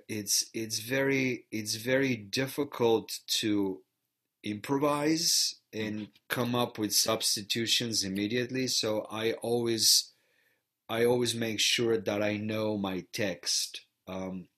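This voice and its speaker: A man's voice: mid-range voice, fairly bright tone. A middle-aged man from Bulgaria, an actor and theater teacher giving a reflective interview, often repeating words as he restarts. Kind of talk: interview conversation.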